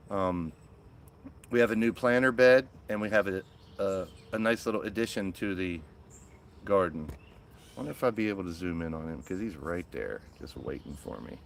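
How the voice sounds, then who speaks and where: Raspy low-voiced man streaming outdoors. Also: a bit bright, a little hiss or hum. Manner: chatty, greets viewers by name. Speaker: live stream host. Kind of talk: casual live-stream chat. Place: backyard garden outdoors. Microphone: small phone-mounted microphone.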